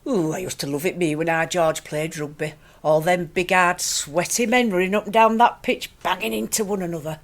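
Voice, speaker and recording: A woman's voice: lowish voice, normal speed, few pauses, close to an everyday voice. A woman acting a chatty, nosy Yorkshire shopkeeper in a radio play, gossipy and a bit saucy. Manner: gossipy, colloquial.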